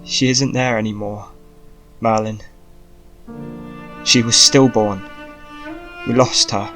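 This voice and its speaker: A man voicing a gentle, dying young queen, soft and sorrowful, in an audiobook drama. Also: on the low side, steady tone, slow pace, soft background music.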